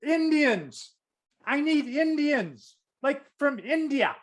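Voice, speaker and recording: An actor plays a tactless, self-serving male boss in a screen comedy read. The delivery is very animated, leaping up and down in pitch. He blurts, stammers and backpedals. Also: takes it slowly, full character voice. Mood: eager, blurting. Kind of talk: comedic script acting. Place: remote video call reading. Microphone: video call.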